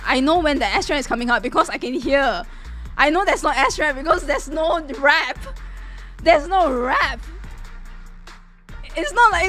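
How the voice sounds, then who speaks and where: A woman chatting casually on a live game stream, reacting excitably and repeating her phrases. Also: high voice.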